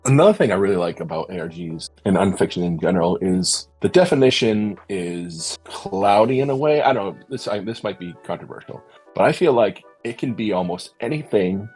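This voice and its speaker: A man's voice: low voice, animated, brisk, a little mellow, breathy edge, soft background music, poor audio. A man hosting a podcast, talking in an earnest, thoughtful way and searching for his words in a casual discussion. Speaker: podcast host.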